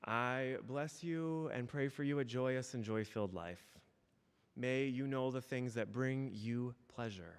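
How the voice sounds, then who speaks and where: Man giving a church blessing, measured phrasing. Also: medium pitch, clear, resonant tone. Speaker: church minister. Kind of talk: church blessing and address to congregation.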